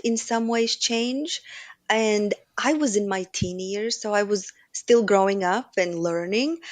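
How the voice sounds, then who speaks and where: A middle-aged woman from Bulgaria with a Bulgarian accent, a guest on a podcast, explaining her experience in a measured, structured way. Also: medium pitch, no room sound. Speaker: guest, operations executive. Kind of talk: podcast interview.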